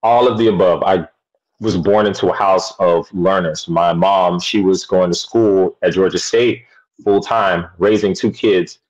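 A man in his thirties explains things in an articulate, measured way, his voice on the low side. He speaks from his home over a webcam call. The sound is dull and lo-fi. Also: fairly even intonation. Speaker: guest teacher. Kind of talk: video call interview. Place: home room. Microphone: webcam on a video call. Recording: dull lo-fi video call audio.

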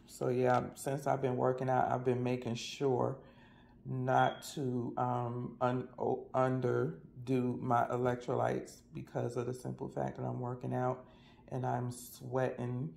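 A man's voice: mid-range voice, gravelly, darkish tone, a little hiss or hum. A man with an American accent chatting casually in a folksy, colloquial way, as a vlogger reviewing products.

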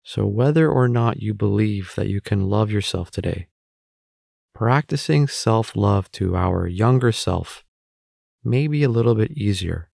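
Guy doing guided meditation, lots of fry in the voice, super dry close sound, measured short phrases. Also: on the low side, expressive, a little mellow, no room sound. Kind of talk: guided meditation narration, instructional.

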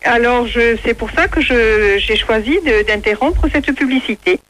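A woman answers a phone call with polite but firm objections. Her voice is high and sharp, conversational and unscripted. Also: moderate pace. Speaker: prank call victim.

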